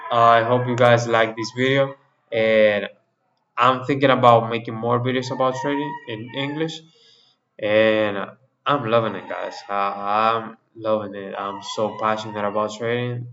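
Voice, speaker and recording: A man's voice: medium pitch, fairly even intonation, unhurried, a bit bright. A man whose first language is Spanish, speaking English in a casual trade review for his channel, often repeating words and restarting phrases. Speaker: trading channel host. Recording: muffled audio with faint background noise.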